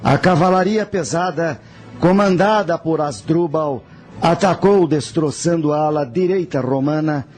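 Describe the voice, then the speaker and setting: A man's voice: on the high side, darkish tone. A man playing a proud ancient general who recounts battle in a solemn, formal way in a radio drama.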